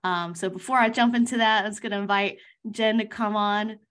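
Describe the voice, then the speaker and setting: A woman podcast host who is also a life coach introduces her guest and asks questions in an easygoing, conversational way. Her voice sits at a middle pitch. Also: fairly even intonation, a little fast, fairly bright tone. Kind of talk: casual interview hosting.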